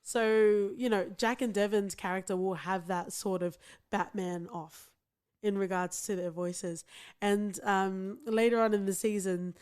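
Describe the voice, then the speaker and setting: A woman co-hosting a podcast, chatty and quick to laugh, who swears casually. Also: mid-range voice, a little mellow, clean tone. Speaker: podcast co-host. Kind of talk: casual chat.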